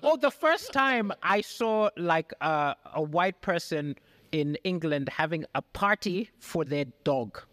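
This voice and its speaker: Animated, laughing male comedian guest. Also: fairly high pitch, slow. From Malawi. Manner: animated storyteller, laughs often. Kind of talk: casual interview chat.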